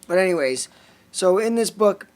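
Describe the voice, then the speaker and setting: A man's voice: high-pitched, moderate pace. A man with a Lithuanian accent, co-hosting a casual podcast chat and reading passages from a book aloud. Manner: reads passages aloud in a steady list-like way. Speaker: podcast co-host.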